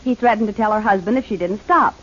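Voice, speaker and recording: A woman's voice: mid-range voice, fast talker, a bit bright, natural voice. An actress playing a matter-of-fact young woman explaining a crime in a 1940s radio drama. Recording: muffled 1940s radio recording.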